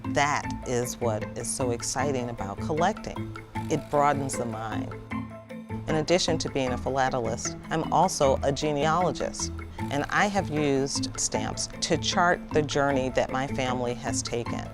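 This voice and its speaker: A woman's voice: rumbling low, normal speed. A woman speaking articulately as an interviewee in a documentary. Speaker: stamp collector interviewee. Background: background music.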